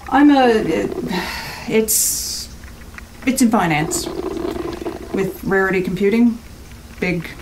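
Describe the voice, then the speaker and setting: An actress in an audio drama playing a curt, stressed, critical rental guest, speaking in a blunt, casual and dismissive way. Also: female voice, medium pitch, lively intonation, slow, pauses often, noisy background. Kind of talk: naturalistic audio drama acting.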